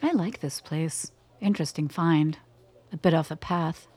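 A woman in a radio play playing an enigmatic, self-assured woman with an unhurried, cryptic and teasing manner. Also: sits low, a little mellow, breathy edge. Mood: cool, teasing. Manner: unhurried, cryptic, at times distant.

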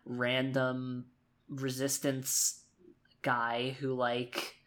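Male game player, mid pitch, slow hesitant improvised narration, repeats phrases. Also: monotone, a bit bright. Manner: hesitant, circling back over phrases.

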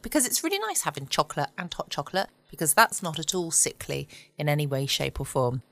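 Low-voiced British woman, chatty podcast host. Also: sharp tone, no echo. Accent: British English. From United Kingdom. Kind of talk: casual late-night podcast chat. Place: home.

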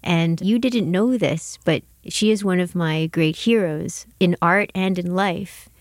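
Low-voiced woman, close and dry recording. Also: measured pace, no room sound. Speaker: interviewer and exhibition curator. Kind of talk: scripted introduction and conversational interview.

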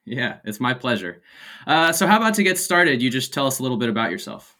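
A man's voice: medium pitch, very animated, fast, bright, forceful tone. A man hosting a startup podcast, who asks his questions in a casual way. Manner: friendly, informal questions. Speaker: podcast host. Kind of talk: podcast interview.

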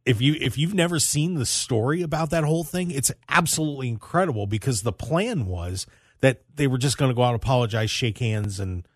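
An American man hosting a sports talk radio show, telling stories in an animated way with emphatic repetition. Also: medium pitch, fairly quick, no echo, clean studio sound.

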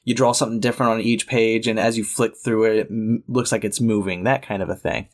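A man's voice: lowish voice, steady tone, a little fast. A man co-hosting a podcast in casual chat, with a nasal voice, rambling and laughing often. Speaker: podcast co-host.

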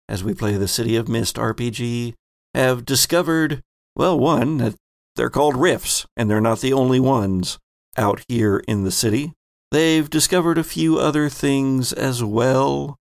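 Man, game master, podcast host, slow deliberate delivery, creaky voice, theatrical recap. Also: mid-range voice, dry recording, clean studio sound. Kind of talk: podcast introduction and story recap.

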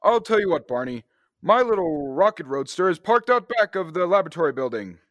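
Young guy playing brisk space hero, high voice for a man, old radio serial acting, dry sound. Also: big pitch swings, a bit bright, dry recording. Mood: friendly. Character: Buck, brisk, take-charge young male space hero. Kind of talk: classic radio drama acting.

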